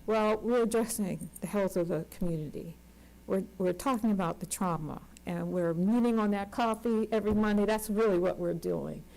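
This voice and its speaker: A woman's voice: mid-range voice, vocal fry, mellow, rough recording. A woman in her sixties speaking on a conference panel as a community organizer, earnest and emphatic, repeating words for stress. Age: 60s. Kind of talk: unscripted panel remarks.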